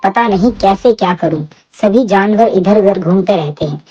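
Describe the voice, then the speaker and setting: Woman acting lion king, stern, hungry, middle pitch, children's jungle cartoon. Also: normal speed, mellow, dull audio, soft background music, natural voice. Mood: grumbling, hungry. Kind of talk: animated children's animal story.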